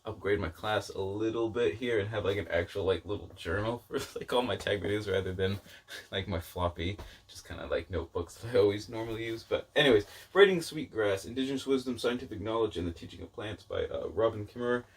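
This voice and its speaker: A man's voice: on the low side, brisk, breathy edge, recorded from a distance. A man giving a casual, rambling book-review chat to viewers, informal and self-deprecating in his delivery. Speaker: book vlogger.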